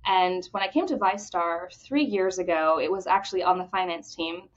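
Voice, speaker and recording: A woman from the Bahamas answering interview questions with enthusiasm, talking quickly and often restarting her phrases. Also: on the low side. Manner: enthusiastic, fast talker with repeated restarts. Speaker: credit union executive, podcast guest. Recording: dull lo-fi audio.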